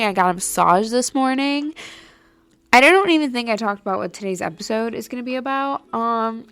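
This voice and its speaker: A woman's voice: a little high, a bit bright. A young woman hosting a podcast, chatting casually and rambling with lots of "like", her nose a little stuffy. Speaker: podcast host. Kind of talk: casual solo chat. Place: at home late at night.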